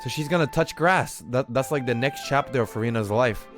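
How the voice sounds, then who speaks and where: A man's voice: mid-range voice, brisk. A man streaming a game live, chatting casually in slang and repeating himself for emphasis. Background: game music.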